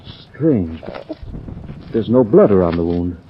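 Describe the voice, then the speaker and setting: Inquisitive sleuth, lively old-radio delivery. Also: male voice, low voice, slow pace, full character voice. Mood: grave, resolute. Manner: inquisitive, decisive, polite. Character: composed, inquisitive gentleman sleuth, watchful and decisive. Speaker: radio drama actor. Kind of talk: old-time radio acting. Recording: muffled, noisy vintage radio recording.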